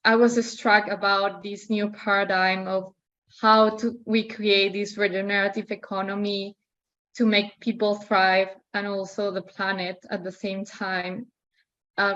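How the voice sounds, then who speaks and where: A woman's voice: mid-range voice, level tone, a little slow, a bit bright, dull audio. A young woman from Peru with a Peruvian Spanish accent, hesitantly asking a question in a discussion, searching for words.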